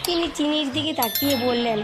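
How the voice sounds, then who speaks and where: A woman narrates a children's cartoon story in a steady, gentle way. Her voice sits fairly high, and clear background music runs underneath the narration. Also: brisk. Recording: music playing behind.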